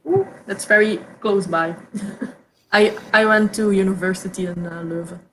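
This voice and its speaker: A young woman from Belgium whose first language is Dutch, hosting a casual reading chat over a video call, chatty and quick to stumble over her first words. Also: medium pitch, a bit bright, a little hiss or hum, poor audio. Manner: chatty, repeats words when starting sentences, laughs often. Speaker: host of reading sprints. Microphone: video call mic.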